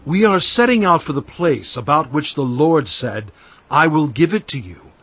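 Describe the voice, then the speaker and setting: An actor playing an anguished, pleading prophet and leader in a dramatized audio Bible, with impassioned, declamatory delivery. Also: male voice, a little high, animated, moderate pace, breathy edge. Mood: earnest, pleading. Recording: dull lo-fi sound with music.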